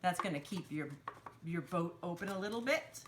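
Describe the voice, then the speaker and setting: A woman's voice: lowish voice, lively intonation, moderate pace, slight background noise. A woman hosting a children's storytime craft, explaining each step in simple instructional phrases for young children. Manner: simple instructional phrasing, refers to herself in third person.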